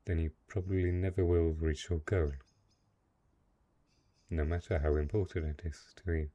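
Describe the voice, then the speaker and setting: Brooding dark knight, played by a male actor: deep, mellow, measured, lonely and possessive, for fantasy roleplay audio. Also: steady tone, highs cut off. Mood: cold, dismissive. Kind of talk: dramatic roleplay audio monologue.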